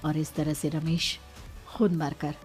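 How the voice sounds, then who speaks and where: A woman's voice: low-pitched, level tone, unhurried, darkish tone, faint music behind. A woman giving dramatic, scripted narration of a cartoon story as its storyteller.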